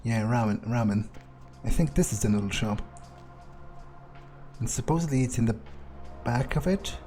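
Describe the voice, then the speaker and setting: A man plays a game in character, thinking aloud in a medium-pitched voice. His commentary is casual, dry and understated. Faint music runs behind him. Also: expressive, moderate pace, mellow. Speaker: gamer playing in character.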